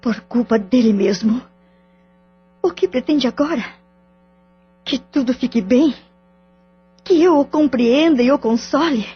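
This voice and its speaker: An actress playing a wounded, betrayed wife who speaks in a curt, cold and bitter way, in an audio drama. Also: female voice, high-pitched, unhurried, long pauses, husky, heavily acted. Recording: dull lo-fi audio with faint music.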